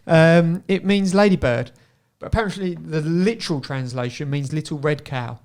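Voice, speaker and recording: A man's voice: fairly high pitch, normal speed, darkish tone. A man hosting a podcast, running a light trivia quiz with his guests and laughing often. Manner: playful, laughs often while quizzing guests. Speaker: podcast host.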